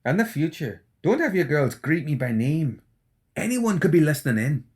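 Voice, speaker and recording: Guy voicing a twitchy anxious mad doctor necromancer for an audiobook, voice pushed up high. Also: sing-song, moderate pace. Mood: anxious, urgent. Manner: anxious, agitated. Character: jumpy, wild-eyed male doctor and necromancer. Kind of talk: audiobook character acting.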